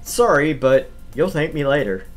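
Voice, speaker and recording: A man's voice: fairly high pitch, lively intonation, normal speed, soft background music, natural voice. A man voicing an exasperated, easily flustered teenage boy who scolds and stammers in a visual novel. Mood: scolding. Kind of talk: visual novel read-aloud.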